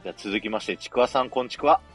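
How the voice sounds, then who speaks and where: A man's voice: fairly low pitch, very fast, fairly bright tone. A man hosting a casual live audio show, politely prompting and introducing guests. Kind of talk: casual chat, moderating guests. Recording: background music under the voice.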